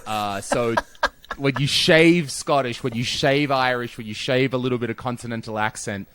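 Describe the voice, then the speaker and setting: An Australian man hosting a casual podcast chat over a video call, animated and swearing freely. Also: medium pitch, moderate pace, clear, resonant tone. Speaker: podcast host.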